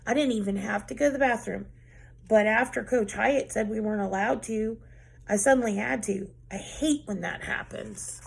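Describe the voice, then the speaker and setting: Woman with a gravelly voice, reading a children's book. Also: mid-range voice, moderate pace. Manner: expressive, lively storytelling. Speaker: teacher reading aloud. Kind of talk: children's book read-aloud.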